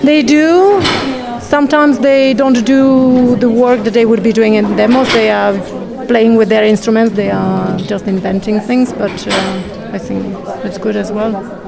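A woman's voice: a little high, fairly even intonation, unhurried, gravelly, a crowd in the background. A woman in her thirties or forties from the Czech Republic, with a Czech accent, answering interview questions as a parent in hesitant, restarting English. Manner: hesitant, many fillers and restarts.

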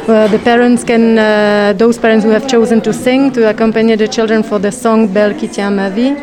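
A woman's voice: a little high, level tone, a little fast. Czech-accented woman, hesitant speech. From Czech Republic. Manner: hesitant, many fillers and restarts. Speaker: parent. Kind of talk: interview.

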